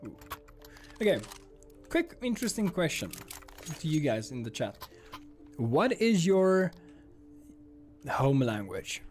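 A young man with a South African accent, an Afrikaans speaker, chatting to his stream while playing, with bursts of loud exclamations. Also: a little high, big pitch swings, slow, pauses often. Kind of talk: live gameplay streaming chat. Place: home streaming room. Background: game sounds and music.

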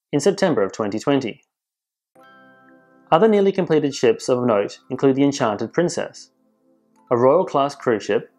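Male news presenter, informative measured voice-over with quiet music bed. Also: medium pitch. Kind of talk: scripted narration. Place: voice-over, not in pictured places.

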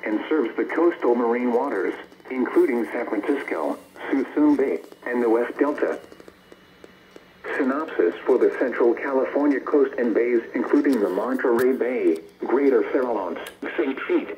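Automated-sounding American male weather announcer, mid-pitched and measured, heard from a noisy radio set. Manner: flat, synthetic, measured delivery. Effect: radio broadcast. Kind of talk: automated weather forecast broadcast reading. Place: played from a portable radio indoors. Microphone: camera or phone near the radio. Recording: noisy radio speaker picked up in room.

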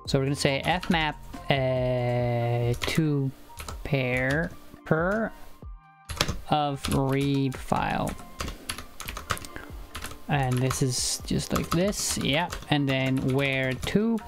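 A man's voice: medium pitch, drawn-out words, long pauses, over music. A young man whose first language is Icelandic, live-streaming programming and talking it through with many fillers and restarts, on a headset mic at home. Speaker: programming livestreamer. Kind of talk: casual live coding chat. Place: home room, indoors.

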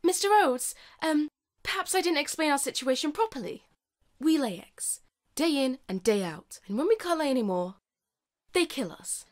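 A woman's voice: sits high, expressive, moderate pace. A woman voicing an earnest, bossy hen leader in a cartoon fan dub, speaking in an insistent, pointed way. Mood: earnest, pleading.

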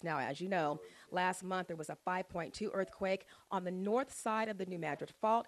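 Woman field reporter, live local TV, upbeat and chatty, handheld mic on location. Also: on the low side. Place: outdoors at a home and in a parked truck. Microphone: handheld station mic.

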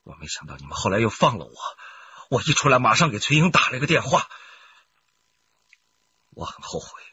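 An actor playing a frightened, remorseful murder suspect making an agitated, halting confession in a radio crime drama. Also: male voice, mid-range voice, big pitch swings, natural voice. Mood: fearful remorse. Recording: dull lo-fi sound, faint noise.

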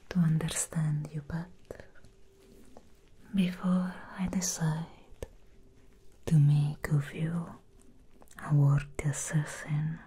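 A young woman playing a calm, commanding female assassin in a whispered ASMR roleplay, slowly and softly instructing the listener up close. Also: low-pitched, slight background noise. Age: young adult. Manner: slow, hushed, instructing the listener. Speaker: ASMR performer. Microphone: very close ASMR microphone.

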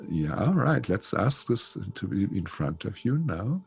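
Older male healer, deep gravelly voice, rambling fillers, headset call. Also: big pitch swings, a little slow. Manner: chatty, warm-toned, many "you know" fillers. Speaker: spiritual healer. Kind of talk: guided spiritual session. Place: home room. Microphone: headset on video call.

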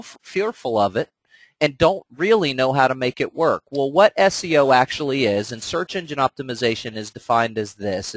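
A man's voice: medium pitch, no echo, low-quality recording. A man with a stuffed-up nose from a cold, presenting a training webinar in an earnest, conversational way, speaking directly to his listeners.

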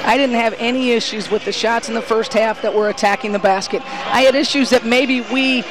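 A woman's voice: a little high, fairly even intonation, a little fast, crowd noise, rough recording. A middle-aged woman basketball coach giving a postgame interview on a headset mic in an empty gym, talking emphatically and earnestly with frequent restarts. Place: empty college gym after a game.

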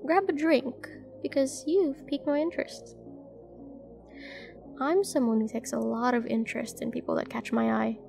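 A woman acts out a dangerous female mercenary flirting in an audio roleplay. Her voice sits high, with plenty of gravelly fry at the edges. She is teasing, self-assured and used to giving orders, with faint music behind her. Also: steady tone, normal speed, darkish tone. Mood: teasing, flirtatious. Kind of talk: scripted roleplay audio drama, addressing the listener.

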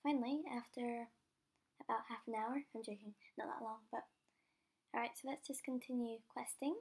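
A young woman with a cold and a croaky voice, chatting casually and mocking herself while playing a game as host of her channel, sometimes dropping to a whisper. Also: a little high, steady tone, moderate pace, darkish tone.

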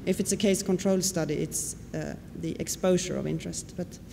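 A woman whose first language is Swedish, giving a conference lecture and often pausing on fillers. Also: lowish voice, steady tone, creaky, warm tone. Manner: explanatory, with frequent fillers and questions to the audience. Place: lecture hall. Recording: reverberant hall with faint noise.

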